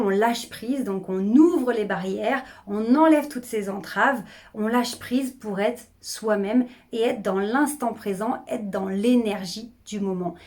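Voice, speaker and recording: A middle-aged woman speaking as a public speaking coach, energetic and emphatic, often repeating phrases to drive a point home. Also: mid-range voice. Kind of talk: coaching video monologue.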